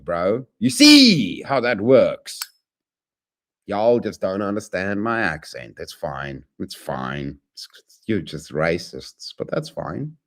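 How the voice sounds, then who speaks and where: A man chatting casually on a live stream, talking to his chat in a rambling way that swings from loud to mumbled. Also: on the low side.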